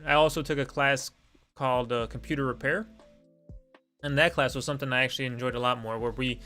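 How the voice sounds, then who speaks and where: A young man with a gravelly, fry-tinged voice answers viewer questions on a live stream, with faint music playing underneath. He rambles, pokes fun at himself, and fills the gaps with ums and likes. His first language is Lithuanian. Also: medium pitch, fairly bright tone. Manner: rambling and self-deprecating, many fillers. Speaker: streamer hosting a Q and A. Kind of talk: casual live-stream chat.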